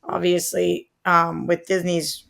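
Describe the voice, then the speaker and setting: A woman's voice: on the low side, monotone, takes it slowly, vocal fry, fairly bright tone. A woman with an American accent chatting casually as a podcast co-host, informal and inquisitive about entertainment news.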